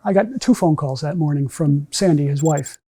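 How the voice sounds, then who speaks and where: A middle-aged man giving a measured personal recollection in a documentary interview. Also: a little high, warm tone, breathy edge, faint music behind. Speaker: bereaved brother interviewed. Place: large meeting room with empty chairs.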